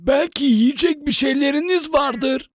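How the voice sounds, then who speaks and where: An actor voicing a big, hungry, good-natured bear in a cartoon dub, with drawn-out, sighing delivery. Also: high voice, slight background noise. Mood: grateful. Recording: music behind the voice. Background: background music.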